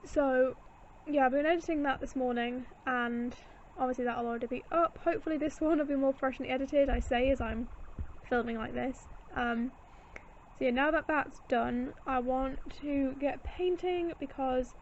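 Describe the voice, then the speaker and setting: A young woman, a bit hoarse and tired, chatting in a rambling, self-deprecating way about running her small art shop. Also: high voice, normal speed, highs cut off, a little hiss or hum. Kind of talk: casual studio vlog chat.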